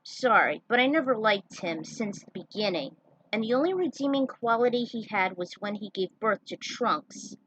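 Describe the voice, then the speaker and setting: A woman's voice: medium pitch, lively intonation, normal speed, a bit bright, slight background noise, rough recording. A woman reading her own fan fiction and adding casual, opinionated commentary as its writer.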